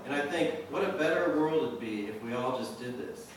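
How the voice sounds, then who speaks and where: A man giving a live poetry reading in a measured, deliberate way, pausing at the ends of lines. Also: medium pitch, animated. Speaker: poet. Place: indoor reading venue with a live audience. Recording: very echoey room, distant mic, faint noise.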